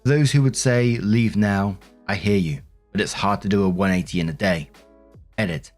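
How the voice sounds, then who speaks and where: A man's voice: on the low side, moderate pace, darkish tone, faint music behind. A man narrating online stories aloud as a host, with informal, conversational commentary and casual swearing.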